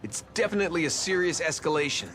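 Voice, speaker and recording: A man's voice: on the high side, quick pace, fairly bright tone, slight background noise, lightly acted. A man playing an earnest, resolute young mutant turtle leader in a video game, serious and firm. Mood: concerned. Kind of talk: video game cutscene acting.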